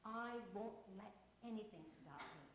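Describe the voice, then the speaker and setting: A shy schoolgirl who finds her courage, read by a woman in her own voice. She speaks softly and earnestly, a touch quieter than the narration. The room around her is roomy and echoing. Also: medium pitch, lively intonation, moderate pace, slight background noise, close to an everyday voice. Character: shy determined young schoolgirl.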